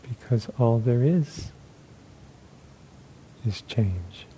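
A man leading a guided meditation as a teacher, speaking in short, unhurried phrases with long pauses between them. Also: mid-range voice, expressive, dark tone, slight background noise.

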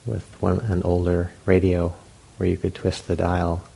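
Guy teaching meditation, really low mellow voice, gentle, lots of pauses. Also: monotone, vocal fry, breathy edge, rough recording. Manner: gentle, unhurried, with long pauses. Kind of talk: guided meditation, unscripted.

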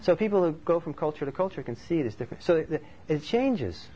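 A man's voice: a little high, sing-song, fairly quick, dark tone. A man from Norway giving a thoughtful, conversational dharma talk as a meditation teacher. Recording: slightly dull recording with faint background noise.

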